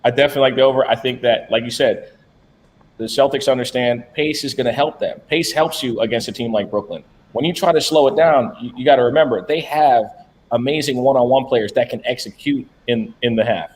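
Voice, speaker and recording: A man's voice: medium pitch, fairly quick, a little hiss or hum. A man co-hosting a sports betting podcast, talking bluntly and with profanity in casual chat. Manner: blunt, profane, animated about basketball. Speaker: podcast co-host.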